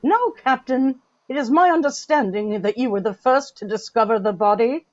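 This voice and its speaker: A woman's voice: fairly high pitch, normal speed, a little mellow, dry recording, dull audio, lightly acted. A woman playing a stern, formal official who questions witnesses at an inquest in an audio drama. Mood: formal, probing. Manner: formal, courtly, commanding.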